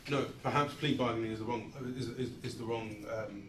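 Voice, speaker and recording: A man's voice: medium pitch, fairly even intonation, fairly quick, a bit bright. A man in a lecture audience puts a careful question to the speaker. His voice carries from a distance through a reverberant hall with a light hiss of noise. He hesitates and restarts often. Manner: hesitant, many ums and restarts. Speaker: audience member asking questions. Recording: roomy hall, mic at a distance.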